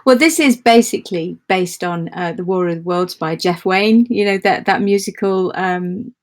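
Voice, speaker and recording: A woman's voice: on the low side, normal speed. A woman chatting casually and enthusiastically as an interview guest. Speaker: guest, audio play creator.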